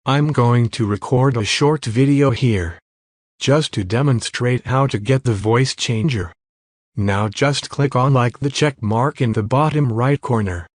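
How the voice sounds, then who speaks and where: A man giving step-by-step software tutorial narration in a plain, instructional manner. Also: mid-range voice, measured pace, no echo, clean studio sound. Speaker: tutorial presenter.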